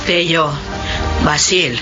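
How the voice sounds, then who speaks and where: An actress playing a concerned, peacemaking older Filipino aunt in expressive, motherly melodrama style. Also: deep voice, extremely slow, lo-fi sound, music in the background. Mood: conciliatory.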